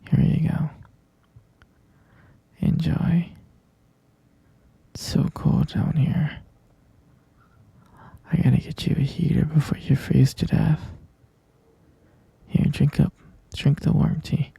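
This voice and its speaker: A man playing a tenderly possessive yandere kidnapper in an ASMR roleplay, coaxing softly in a breathy, husky, gravelly whisper. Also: fairly high pitch, steady tone, dry recording, full character voice. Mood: doting. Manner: slow, coaxing, cooing endearments to the listener. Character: obsessive yandere male kidnapper, tenderly possessive, soft-spoken.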